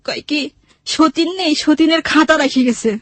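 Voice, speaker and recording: A woman with a clearly high voice and a Bangladeshi accent shares a personal story. She speaks plaintively and voices remembered conversations as she goes. The recording is dry and close. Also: unhurried, a bit bright, no echo. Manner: plaintive, acts out remembered dialogue. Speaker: guest telling her life story. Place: radio studio.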